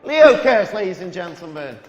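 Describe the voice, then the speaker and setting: A man's voice: high voice, sing-song, normal speed, highs cut off. A man working as a comedy compere, bantering and announcing on stage. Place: live comedy venue. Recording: echoey hall with long reverb.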